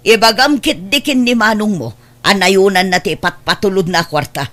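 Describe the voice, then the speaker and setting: Casting: woman to play middle-aged grasping aunt, medium pitch, complaining, Ilocano accent, radio drama. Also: normal speed, a bit bright, natural voice. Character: grumbling, money-grasping aunt.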